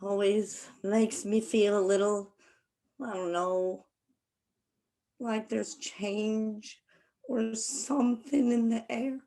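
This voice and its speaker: An actress playing a wistful, emotional rural sister with a folksy Southern US drawl in a stage play. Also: female voice, mid-range voice, fairly even intonation, takes it slowly, dark tone. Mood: wistful, nervous.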